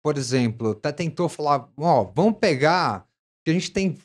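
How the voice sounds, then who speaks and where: A man in his forties, a guest on a business podcast in a studio, talking in an animated way and chiming in often with agreement. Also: on the high side. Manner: quick agreeing interjections, animated. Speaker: guest, event founder. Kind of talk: casual interview chat. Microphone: studio mic on boom arm, headphones.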